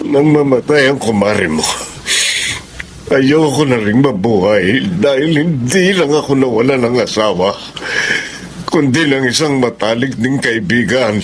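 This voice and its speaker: An actor playing a grieving, despairing older widower in a Filipino radio drama, speaking slowly and heavily with a Filipino accent. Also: male voice, mid-range voice, expressive, slight background noise, close to an everyday voice. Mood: anguished, despairing. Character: grieving, despairing suicidal widowed old man. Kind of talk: Tagalog radio drama acting.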